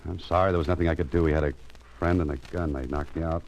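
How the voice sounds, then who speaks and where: Very deep-voiced wry radio detective. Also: male voice, steady tone, racing, a little mellow, slight background noise. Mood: firm, tense. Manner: dry, understated. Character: wry, tough writer-adventurer private investigator. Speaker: actor playing the lead detective. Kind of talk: 1940s radio acting. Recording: muffled vintage radio recording with music.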